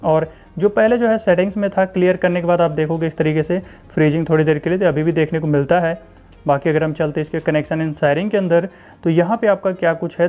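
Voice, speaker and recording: Higher-pitched, mellow Indian man explaining tech. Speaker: tech channel host reviewing phone software. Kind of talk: casual screen-recording walkthrough commentary.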